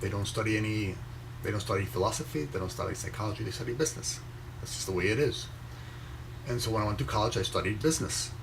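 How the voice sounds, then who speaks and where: A young man raised in Venezuela, reading a motivational post aloud and commenting on it in a slow, measured way with pauses between phrases. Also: lowish voice, gravelly, background noise. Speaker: inspirational speaker reading his own post.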